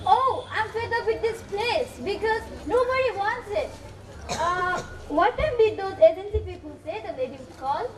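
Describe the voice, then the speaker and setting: A woman's voice: very high voice, lively intonation, moderate pace. A woman acting a fed-up, businesslike homeowner in an amateur stage play, declaiming her complaints. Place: school stage with audience. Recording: distant stage mic, crowd noise.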